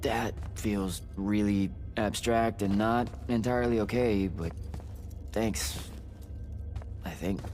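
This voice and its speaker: An actor playing an irreverent, defiant young battlemage hero with a sarcastic, flippant manner in a video game cutscene. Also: male voice, on the low side, fairly even intonation, slow pace, a little mellow. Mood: dry, guarded. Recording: game audio with music or noisy ambience behind.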